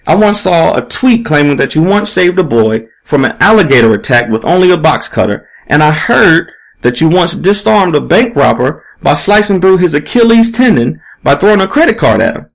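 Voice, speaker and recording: A man's voice: fairly high pitch, very animated, normal speed, vocal fry, few pauses, pitched above the performer's own voice, exaggerated voice, low-quality recording. A man voicing a pushy, relentless female investigative reporter in an audiobook story, with an emphatic, probing delivery. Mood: insistent.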